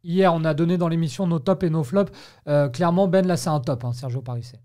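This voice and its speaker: A man's voice: fairly high pitch, a little fast, mellow. A man hosting a sports talk discussion on the radio. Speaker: radio host.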